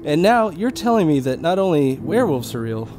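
A man's voice: a little high, big pitch swings, brisk, a little mellow, slight background noise. A man playing an impatient, wisecracking young sidekick in an audio drama, nervy and flippant. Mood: amazed, excited.

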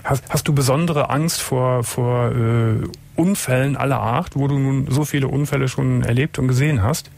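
A middle-aged man hosting a late-night radio call-in show from a studio, asking calm, probing questions and murmuring agreement. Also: mid-range voice, moderate pace, gravelly, few pauses. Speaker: radio talk show host. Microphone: studio mic with headphones.

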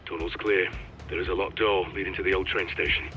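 An actor playing a businesslike special forces soldier in an action game, reporting tactical status. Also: male voice, deep voice, steady tone, brisk, pushed and bright, muffled recording, faint music behind. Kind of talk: cinematic action game acting.

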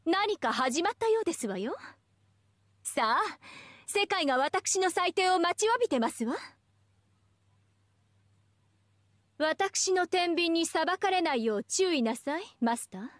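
A haughty anime game goddess, speaks in a high, young, elegant voice. Her formal phrasing swoops with proud, teasing expression, recorded very dry. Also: expressive, moderate pace, dry recording. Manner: refined, formal ladylike speech. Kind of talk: scripted anime game voice acting.

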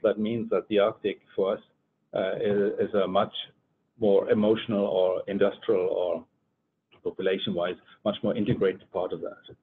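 An older man from Germany gives a research presentation in English as a scientist, halting and deliberate. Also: level tone, slightly rough. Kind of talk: conference presentation. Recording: muffled phone-like line, rumbling noise.

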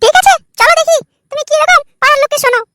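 A man voicing a shrill young wife in a comedy cartoon, scornful, nagging and sarcastic. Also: very fast, bright, forceful tone, a little hiss or hum. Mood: mocking. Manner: mocking, complaining.

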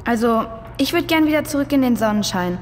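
A woman's voice: a little high, animated, fairly quick, close to an everyday voice. A woman playing a caring, curious girl in a children's adventure audio play. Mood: uneasy. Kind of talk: children's audio drama acting. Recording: long cave-like reverb, noisy water ambience.

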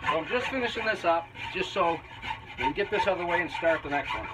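A man's voice: sits high, animated, fast talker, heavy background noise. A man in his fifties from Canada, chatting in a folksy, easygoing way with chuckling asides as he teaches woodworking in his basement shop, picked up by a camera on the bench. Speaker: woodworking channel host. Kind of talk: casual instructional talk while working. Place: basement woodshop. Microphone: camera on a bench a few feet away.

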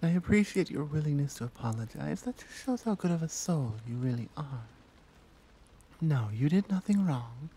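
A woman playing a caring, conflicted tigress monk in a soft, intimate ASMR roleplay, speaking gently and hesitantly with earnest pauses. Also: deep voice, animated, slight background noise, poor audio. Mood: reassuring.

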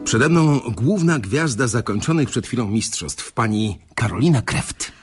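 An actor playing a gushing, easily flustered reporter interviewing for a chess website in a radio drama. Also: male voice, medium pitch, expressive, a little slow, breathy edge, soft background music. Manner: gushing, stammering when put off.

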